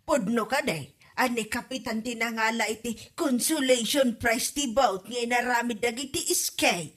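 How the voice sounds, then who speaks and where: A concerned, protective mother acted by a woman in an Ilocano comedy drama podcast. She has a fairly high voice and an Ilocano accent, and talks as a principled villager. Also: moderate pace, fairly bright tone. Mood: disapproving.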